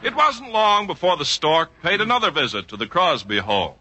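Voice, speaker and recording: A man's voice: a little high, big pitch swings, normal speed, sharp tone. A man playing the narrator of a mock biography in a 1940s radio comedy, with dry, mock-grand scripted storytelling. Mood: wry, mock-grand. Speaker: sketch narrator. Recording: faintly noisy 1940s radio recording.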